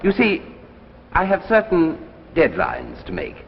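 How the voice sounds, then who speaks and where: An actor playing an older, courteous and persuasive successful author in a 1950s radio drama, with a theatrical, gracious manner. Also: male voice, on the high side, wildly swooping pitch, unhurried, creaky, exaggerated voice. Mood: persuasive, insistent. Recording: muffled, hissy old radio recording, reverberant.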